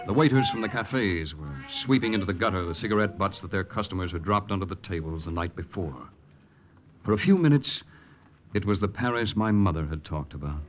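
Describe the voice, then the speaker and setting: An actor playing an earnest young Allied secret agent in a 1940s radio drama, polite and dramatic. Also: male voice, sits low, animated, fairly quick, slight background noise. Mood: grave, reflective. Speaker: narrator and lead actor. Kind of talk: 1940s radio drama, first-person narration and dialogue. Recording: 1940s radio recording with music.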